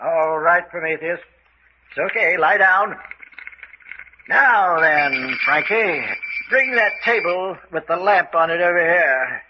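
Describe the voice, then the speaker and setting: An actor playing a sly, mock-courteous villain host in a radio mystery, hesitant and genteel with quiet menace. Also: male voice, fairly high pitch, expressive, slow pace. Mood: smug, gloating. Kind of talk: old-time radio mystery acting. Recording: dull lo-fi radio recording with noise.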